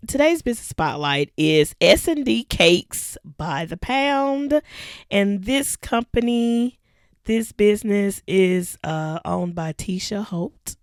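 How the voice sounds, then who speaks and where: A hoarse woman co-hosting a podcast, chatting casually with laughs and slow, drawn-out lists. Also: mid-range voice, lively intonation, dry recording, clean studio sound. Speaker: podcast co-host. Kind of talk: casual chat.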